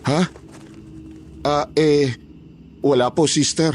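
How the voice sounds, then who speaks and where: A man's voice: on the high side, steady tone, takes it slowly, slight background noise. A man playing a friendly, respectful orphanage night guard in a dramatized horror story, with a polite, hesitant manner. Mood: respectful, flustered.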